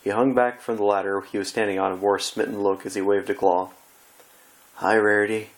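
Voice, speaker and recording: A man narrates fan fiction in a fairly low voice. He reads from a text as an amateur would. His delivery is a little halting, with occasional stumbles over the wording. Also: steady tone, rough recording. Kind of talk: scripted story narration.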